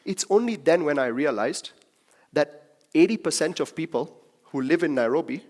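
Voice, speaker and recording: A man's voice: a little high, animated, moderate pace, a little mellow. A young man from Kenya giving a personal talk to a live audience, conversational and joking with the crowd. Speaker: speaker at a talk.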